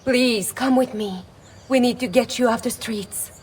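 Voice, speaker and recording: A woman's voice: on the high side, measured pace, slightly rough. An actress playing a loyal, worried young Italian servant woman in a video game, earnest and deferential. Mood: worried, urgent. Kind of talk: dramatic video game cutscene acting. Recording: slightly distant, faint noise.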